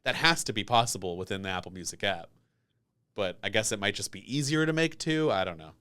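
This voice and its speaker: A man's voice: on the low side, expressive, brisk, sharp tone, clean tone. A man hosting a podcast, chatting in a casual, rambling, conversational interview style. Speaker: podcast host.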